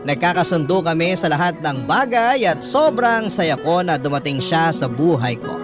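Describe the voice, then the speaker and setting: Filipino guy reading a letter story for radio, emotive, slightly high voice, guitar music behind. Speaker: radio drama narrator reading a listener's letter. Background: guitar and instrumental music.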